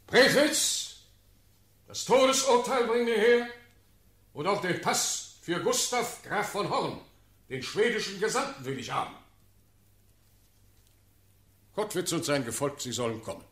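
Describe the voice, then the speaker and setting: An actor playing a commanding, shrewd sovereign in a classical verse radio drama, with measured, formal, imperious delivery. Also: male voice, fairly high pitch, sing-song, fairly bright tone. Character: commanding, shrewd ruling Elector of Brandenburg.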